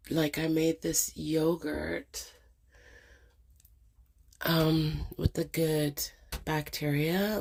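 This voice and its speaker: A middle-aged woman muses aloud in a casual monologue, stuffed up with a cold. Her voice sits low and gravelly, with a husky edge. She speaks very slowly, pausing often and filling gaps with ums. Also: a little mellow. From Canada. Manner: slow, hesitant, many ums and pauses. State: congested with a cold. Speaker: channel host.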